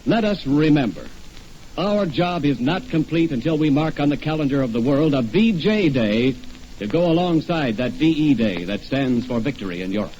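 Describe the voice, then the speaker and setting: A man's voice: fairly high pitch, sing-song, normal speed, a little mellow. A man playing an earnest, formal American radio announcer in a stirring, scripted 1940s style. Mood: solemn, rousing. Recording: dull, noisy radio-style recording.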